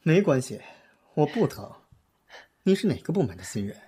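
A man's voice: on the high side, big pitch swings, normal speed, dark tone, slightly rough, exaggerated voice. An actor in a Mandarin audio drama playing a suave, confident male pop star who is condescendingly kind to a newcomer. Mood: friendly.

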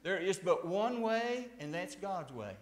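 A man in his sixties preaching a fiery sermon at a church pulpit, swinging from hushed tones to shouts. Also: high voice, sing-song, unhurried. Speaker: pastor. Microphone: lapel mic, far camera. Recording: echoey church sanctuary.

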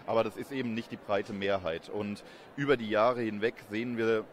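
A man in his thirties giving measured, explanatory answers as an interviewed academic expert. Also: lowish voice, steady tone, slight background noise. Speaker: interviewed expert, communication professor. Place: busy event courtyard in daylight. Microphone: handheld reporter microphone held close. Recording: outdoor urban ambience behind voice.

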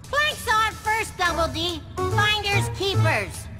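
Cartoon game casting: stubborn, naive little kid, very high and animated, earnest, music behind. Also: male voice, slow pace, full character voice. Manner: childish, earnest. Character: naive, stubborn small boy devoted to his wooden plank. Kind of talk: cartoon video game acting.

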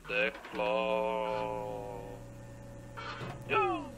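A man's voice: mid-range voice, wildly swooping pitch, drawn-out words, gravelly, noisy background. A man doing casual gameplay commentary, muttering to himself in short fragments. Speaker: gamer playing and commenting. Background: game music.

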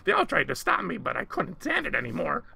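A man's voice: sits high, huge pitch swings, fast, gravelly, pushed and bright, husky, voice pushed up, heavily acted. A man voicing a small, frantic, lovesick monster in a storybook, pleading and repeating words.

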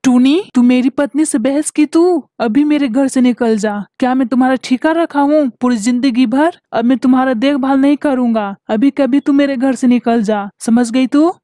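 A woman's voice: high-pitched, moderate pace, hardly any pauses, mellow, no room sound, studio quality, lightly acted. A woman voicing an angry, cold-hearted older brother bird in a cartoon, stern and dismissive. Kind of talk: Hindi children's cartoon dialogue.

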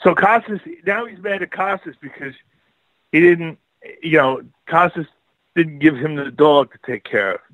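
Rambling New York man, higher voice. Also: normal speed, fairly bright tone, dry recording, rough recording. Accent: Brooklyn/Long Island New York. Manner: rambling storyteller, laughs often, many fillers. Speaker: guest author and filmmaker. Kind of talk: casual radio interview.

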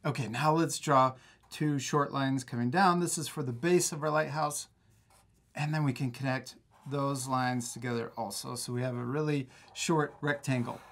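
A man's voice: mid-range voice, steady tone, fairly bright tone. Encouraging man teaching kids to draw. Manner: upbeat, encouraging, explains slowly. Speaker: drawing teacher. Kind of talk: step-by-step kids' drawing lesson. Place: art studio.

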